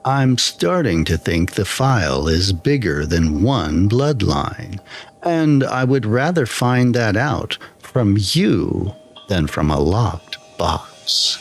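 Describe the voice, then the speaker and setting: A man reading a scripted first-person horror story in a measured, deliberate way, with pauses between phrases. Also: fairly low pitch. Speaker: storyteller, channel narrator.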